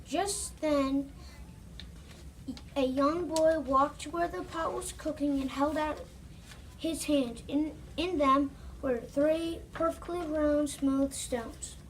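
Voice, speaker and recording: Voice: high-pitched, steady tone, slow. A child narrating a scripted story aloud as part of a reader's theater. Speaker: student narrator. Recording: distant mic, noisy room ambience.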